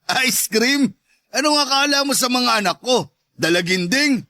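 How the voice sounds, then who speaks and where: A man's voice: very high-pitched, lively intonation, unhurried, bright, forceful tone, no echo, studio quality, exaggerated voice. A man playing a mocking, domineering macho ex-husband in a radio drama, brash and taunting. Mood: contemptuous. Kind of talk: Tagalog radio drama acting.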